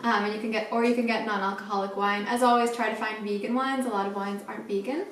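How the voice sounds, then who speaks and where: A woman's voice: medium pitch, fairly even intonation, quick pace, a bit bright. A young adult woman co-hosting a cooking show, presenting information in a chatty, informal explanatory way. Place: home kitchen, indoors. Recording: echoey kitchen, distant mic, faint noise.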